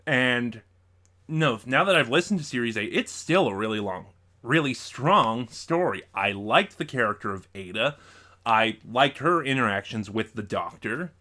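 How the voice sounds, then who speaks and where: A man giving a casual, unscripted ranking review as a video reviewer, thinking aloud in short, halting bursts. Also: medium pitch, sharp tone. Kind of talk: casual review monologue ranking audio drama stories.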